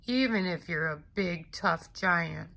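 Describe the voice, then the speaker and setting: A woman's voice: on the low side, vocal fry, pushed and bright, voice pushed down, full character voice. A woman voicing a big, hungry male giant who tells his side of a storybook tale in an aggrieved, exclamatory way. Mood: rueful, dramatic. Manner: exclamatory, indignant, deliberate storyteller. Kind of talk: expressive children's storybook read-aloud.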